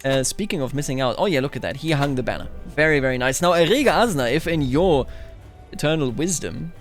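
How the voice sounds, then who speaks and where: Male gaming host, slightly high voice, theatrical musing roleplay commentary over soft background music. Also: animated, brisk. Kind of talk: roleplay strategy game commentary. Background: game music.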